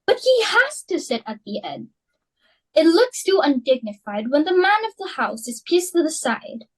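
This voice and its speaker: A woman's voice: sits high, sing-song, normal speed, poor audio. A young woman in an amateur dramatic reading, playing a fussy, status-hungry society hostess and mother in a flustered, theatrical way. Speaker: student actor.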